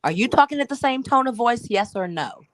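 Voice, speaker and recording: Higher-voiced woman, sharp and blunt, pretty dry recording. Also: animated, a little fast, no room sound. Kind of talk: online panel discussion.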